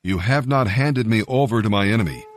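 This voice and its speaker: A man reading scripture and devotional commentary from a script in a measured, earnest manner. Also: lowish voice. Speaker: Bible reader and devotional narrator. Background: music.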